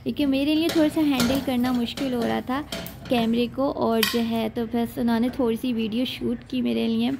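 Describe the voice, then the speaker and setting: A woman's voice: on the high side, fairly even intonation, moderate pace, creaky, noisy background. A young woman, narrating her day in a chatty voice-over and leaning on a lot of "aur jo hai" fillers. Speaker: lifestyle vlogger. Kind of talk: casual voice-over narration of daily life.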